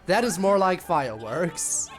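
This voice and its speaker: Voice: mid-range voice, sing-song, a little slow, faint music behind. A game streamer giving casual livestream commentary, full of wry, quippy asides.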